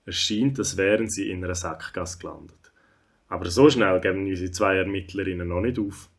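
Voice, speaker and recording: A man's voice: deep voice, brisk. A man with a Swiss German accent narrating a scripted children's audio story.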